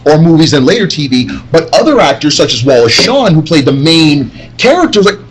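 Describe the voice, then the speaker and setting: A man's voice: a little high, sing-song, brisk. A man talking casually and emphatically as a panelist on a podcast recorded live. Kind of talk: casual group chat. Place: live at a comic convention. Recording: live convention table, light background noise.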